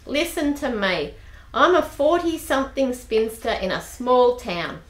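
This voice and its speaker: A brisk, bossy spinster clerk in her forties, played by a woman in a homemade radio drama. She talks in a matter-of-fact way with a lively, fairly high voice. The room around her sounds echoey. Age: 40s. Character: bold forty-something spinster bank clerk. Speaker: radio play actor. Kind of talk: amateur radio play acting.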